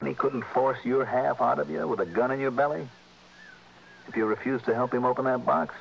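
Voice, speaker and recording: An actor playing a tough, blunt, world-weary insurance investigator in a 1960s radio drama, clipped and commanding. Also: male voice, medium pitch, brisk, vocal fry, close to an everyday voice. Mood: blunt, pressing. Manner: hard-boiled, commanding, clipped. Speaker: lead radio detective. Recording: dull, noisy vintage radio recording.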